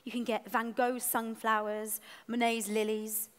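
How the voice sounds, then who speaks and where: A woman's voice: on the high side, flat delivery, a little slow, clear, resonant tone, natural voice. A woman playing a fussy, defensive male gallery curator in a dramatised story reading, explaining things at length. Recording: echoey hall, picked up in the room.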